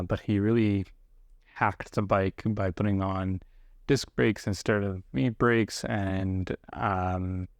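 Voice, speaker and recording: A middle-aged man talking reflectively and casually to viewers as a video host, unhurried and often pausing mid-sentence. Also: sits low, dry recording, studio quality. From grew up partly in South Korea, living in Canada.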